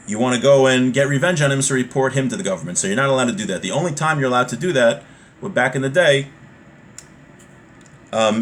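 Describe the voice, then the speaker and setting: A man with a mid-range voice teaches a religious law class. He moves between reading a text and explaining it in a conversational way, going back over points to make them plain. There is clear background noise in the recording. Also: medium pitch, a bit bright.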